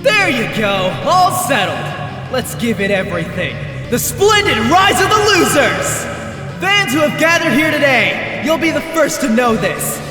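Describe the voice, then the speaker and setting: Man acting high-pitched male anime idol, brash, taunting, bombastic, swooping delivery, echoey reverb, music behind. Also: big pitch swings, a little slow, exaggerated voice. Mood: gleeful, showy. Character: brash, theatrical young male idol ringleader, taunting rabble-rouser. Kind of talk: anime fandub acting, stage-speech declamation.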